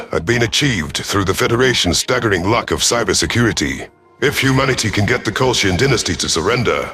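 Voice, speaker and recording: Low-voiced man narrating sci-fi as stern commander. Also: fairly bright tone. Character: stern, calculating male alien predator commander. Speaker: audio story narrator. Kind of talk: scripted first-person sci-fi story narration in character.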